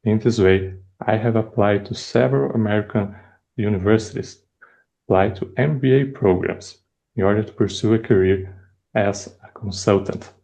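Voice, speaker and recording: A young man from Brazil with a Brazilian Portuguese accent, speaking English as a second language, giving a prepared icebreaker speech with measured pauses. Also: lowish voice, creaky, dark tone, poor audio. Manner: measured, deliberate delivery with pauses. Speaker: first-time club speaker.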